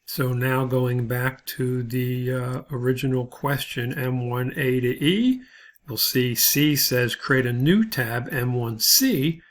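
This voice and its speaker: A man giving an informal, step-by-step software tutorial as an instructor, thinking aloud as he goes. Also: medium pitch, slow.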